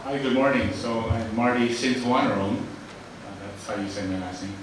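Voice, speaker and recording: A man with a lowish voice gives a short, friendly self-introduction from a distance. The room rings with strong echo, and a clear hiss sits underneath. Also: fairly even intonation, moderate pace. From Thailand, raised in the US. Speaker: panelist, head of international business. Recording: echoey hall from far off, hiss.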